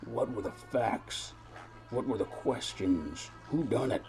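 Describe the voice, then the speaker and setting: A man's voice: mid-range voice, lively intonation, slow pace, darkish tone, faint music behind. A man playing a world-weary, brooding private detective in a noir audio drama, narrating in florid, rambling, repetitive phrases. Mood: anguished, musing.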